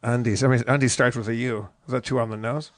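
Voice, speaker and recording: A man's voice: medium pitch, fairly even intonation, fast, dry recording, studio quality. A man co-hosting a show, improvising his way playfully through a conversational comedic sponsor read. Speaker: show co-host reading sponsor ad.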